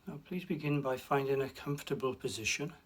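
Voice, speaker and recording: A man guiding a relaxation meditation, speaking slowly and softly with long pauses and a breathy hush at times. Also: medium pitch. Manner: slow, soft and soothing, with long pauses. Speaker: meditation guide. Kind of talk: guided meditation.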